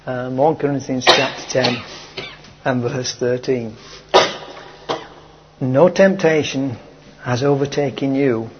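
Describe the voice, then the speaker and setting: A man preaching a sermon to his congregation, speaking slowly and emphatically with repeated phrases, while he is losing his voice. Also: medium pitch, lo-fi sound, very noisy. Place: church hall with a congregation.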